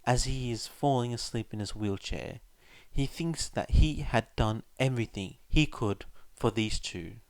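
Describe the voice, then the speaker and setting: A man's voice: mid-range voice, a little slow, dry recording. A man working as a fan fiction reader, either narrating a story or chatting casually to listeners. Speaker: podfic reader. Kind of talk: scripted story narration, casual chat afterwards.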